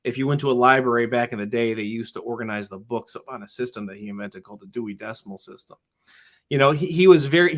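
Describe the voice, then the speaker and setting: A middle-aged man talks through his ideas in a casual interview, earnest and explanatory. He stumbles into frequent restarts and fillers, circling back before landing his point. His voice sits at an ordinary pitch for a man. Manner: earnest, many restarts and "you know". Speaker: interview guest, public-school teacher.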